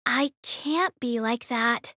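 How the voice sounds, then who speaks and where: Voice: mid-range voice, slow pace, bright, forceful tone, dry recording, clean studio sound, pitched above the performer's own voice. A woman voicing an earnest, troubled young female platoon leader in a dramatized novel reading, exclaiming and questioning emotionally. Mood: self-reproaching.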